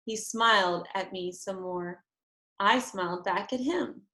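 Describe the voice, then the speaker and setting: A woman narrating a children's chapter book aloud in an expressive read-aloud style. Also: mid-range voice, measured pace, reverberant.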